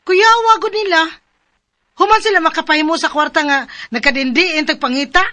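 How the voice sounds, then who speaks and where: A feisty, stubborn wife with a very high, bright voice and a Cebuano Filipino accent. She delivers her lines in indignant radio drama style. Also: brisk, dry recording. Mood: indignant, shocked. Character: feisty, indignant wife refusing to give up land.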